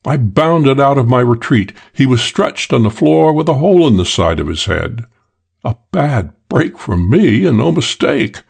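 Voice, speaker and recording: A man voicing a suave, self-assured English gentleman thief telling a wry crime story for an audiobook, with old-fashioned British slang. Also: medium pitch, expressive, a little slow, gravelly, slightly rough, full character voice. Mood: cool, matter-of-fact.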